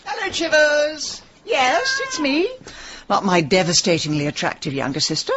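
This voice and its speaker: An actress playing a sharp-tongued, impatient middle-aged village woman in a radio comedy drama, deadpan and cutting. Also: high-pitched, normal speed, dull audio, background noise. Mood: brisk.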